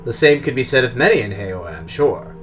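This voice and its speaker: A man voicing a polished, guarded Russian drone engineer who talks in a formal, measured, precise way, with a Russian accent. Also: medium pitch, animated, a bit bright, soft background music, close to an everyday voice.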